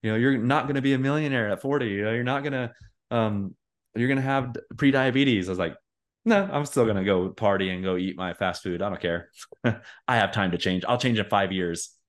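A man in his forties co-hosting a casual chat, rambling and acting out quotes in lively voices. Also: lowish voice, a little fast. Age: 40s. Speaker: podcast co-host.

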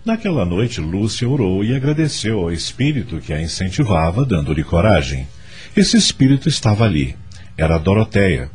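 A man's voice: low voice, big pitch swings, moderate pace, a little mellow, husky, faint music behind, full character voice. A man with a Brazilian accent narrating a radio drama in solemn storytelling style.

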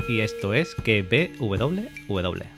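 Man, podcast host, low voice, chatty and informal, lots of fillers. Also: sing-song, takes it slowly, loud music behind the voice. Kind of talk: casual podcast chat.

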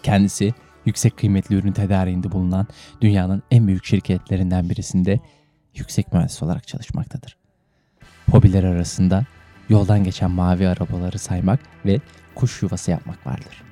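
A man with a low, soft-edged, mellow voice hosts a podcast. He banters in a mock-formal, tongue-in-cheek way, with faint music under his voice. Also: little pitch movement, fairly quick. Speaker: podcast host. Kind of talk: playful banter with a mock-formal radio introduction.

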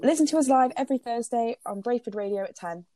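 A young woman co-hosting a radio show, reacting with quick exclamations and giggles. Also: fairly high pitch, mellow, faint music behind. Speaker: radio co-host. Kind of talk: casual chat.

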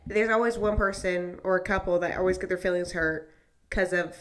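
A young woman with a raspy, hoarse voice, co-hosting a podcast and chatting excitedly about reality shows and her week. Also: on the low side. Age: young adult. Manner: excitable, quick storyteller. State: hoarse from screaming at work. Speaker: podcast co-host. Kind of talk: casual chat.

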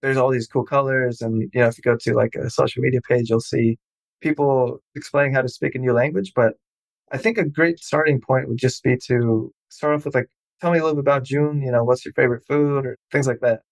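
A man's voice: medium pitch, fairly even intonation, a little fast, creaky, no echo. A man hosting a podcast, chatting loosely with a guest and laughing often. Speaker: podcast host. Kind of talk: casual interview chat.

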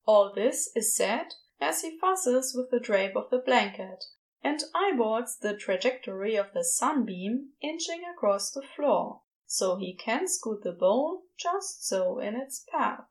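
A woman's voice: on the high side, lively intonation, a little slow, rough recording. A woman narrating scripted fiction as the storyteller of an audio drama.

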